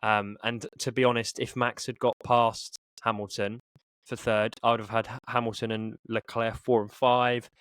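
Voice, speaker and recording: A man's voice: medium pitch, level tone, normal speed, fairly bright tone, clean tone, no echo. Casual male podcast co-host, close dry sound. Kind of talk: casual sports podcast chat.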